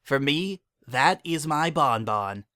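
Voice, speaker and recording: A man's voice: on the high side, lively intonation, slow pace, pushed and bright, clean tone, dry recording, studio quality, pitched above the performer's own voice, full character voice. A man voicing an adoring female unicorn in a story, speaking in an earnest, heartfelt, speech-making way. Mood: tender, adoring.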